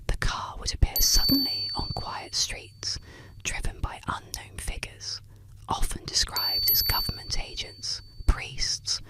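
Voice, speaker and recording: A woman whispers an ASMR story right up close to the microphone. She reads eerie legends from a script in short, deliberate phrases, leaving soft pauses between them. The whole delivery stays hushed and breathy, with almost no voiced tone. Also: extremely high pitch, rough recording.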